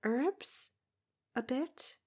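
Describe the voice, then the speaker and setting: A woman's voice: sits high, expressive, very slow, darkish tone, breathy edge, voice pushed up, heavily acted. A woman voicing a cautious, hardworking young woman who answers in short, hesitant replies. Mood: wary.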